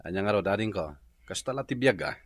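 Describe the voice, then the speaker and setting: Wry, animated big brother. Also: male voice, fairly low pitch, fast talker, no room sound, clean studio sound. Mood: resigned, wry. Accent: Ilocano. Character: sighing, sympathetic older brother, wry and resigned. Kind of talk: Ilocano comedy radio drama acting.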